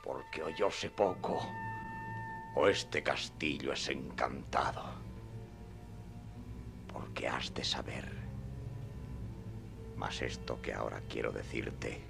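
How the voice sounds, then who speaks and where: A battered old knight-errant for radio theatre, solemn and deluded. The voice is extremely low and full of creak, unfolding slowly in a pompous, confiding murmur. Music plays behind it. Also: male voice, heavily acted. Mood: secretive, solemn. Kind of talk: radio drama acting in archaic literary Spanish.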